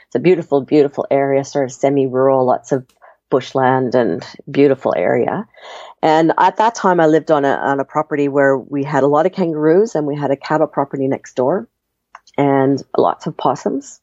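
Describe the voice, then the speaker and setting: Guest, woman from Canada, accent blended with Australian, chatty and lively, muffled dry call audio. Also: rumbling low, normal speed, no echo. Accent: Canadian with Australian tinge. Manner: chatty, lively storytelling with fillers. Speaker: interview guest. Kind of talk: casual conversational interview.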